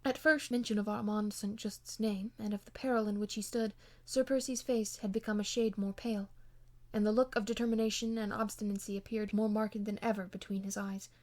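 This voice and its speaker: A woman's voice: mid-range voice, steady tone, brisk, a little mellow. Woman narrating a classic novel expressively. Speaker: amateur audiobook reader.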